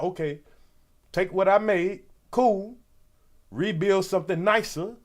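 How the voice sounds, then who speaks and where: A man in his forties hosting a casual livestream commentary, slangy and rambling, with a stuffy nose. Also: a little high. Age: 40s. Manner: loose, rambling, slangy, talks straight to viewers. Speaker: commentary channel host.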